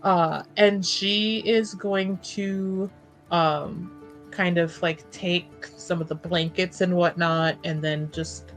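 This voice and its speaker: A woman casually improvising her character's actions as a tabletop player, informal and halting. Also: on the low side, fairly even intonation, faint music behind.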